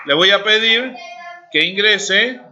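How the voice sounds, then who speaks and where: A man's voice: high voice, slow, pushed and bright, faint music behind. A man, teaching a programming class live, talking while he types and checking in with students. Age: 40s. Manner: talks while typing, asks students checking questions. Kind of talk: live classroom coding lesson. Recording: dull lo-fi classroom recording.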